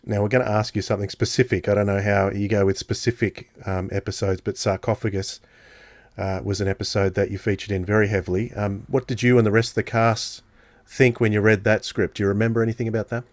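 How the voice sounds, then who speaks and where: A man's voice: on the low side, little pitch movement, fairly quick, no echo, clean studio sound. A man hosting a podcast interview, putting his questions conversationally and a little hesitantly.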